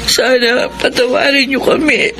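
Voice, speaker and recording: A high Filipino voice plays a repentant elder sister. She is sorrowful, earnest and tender in classic radio-drama style, with music clearly behind her. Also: flat delivery, measured pace.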